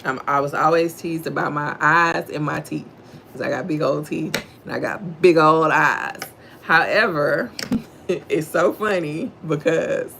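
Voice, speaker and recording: A middle-aged woman from Houston with a Texan accent and a deep voice, talking low and slow as she reads a devotional and greets viewers on her livestream. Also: vocal fry, fairly bright tone, a little hiss or hum. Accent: Southern US, Texan. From Houston, Texas, USA. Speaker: livestream host. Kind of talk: devotional reading and casual chat with live viewers.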